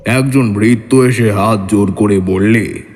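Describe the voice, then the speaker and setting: A man giving measured, literary narration of a horror audio story, in a scripted dramatic style. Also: lowish voice, a little mellow. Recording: long reverb with music bed. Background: clear background music.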